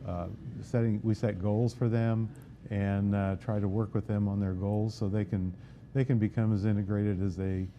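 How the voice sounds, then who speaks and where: A man with a low voice is a guest in a casual interview. He speaks plainly and hesitantly, pausing on filler sounds. The recording is a little dull, with faint background noise. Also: level tone, warm tone.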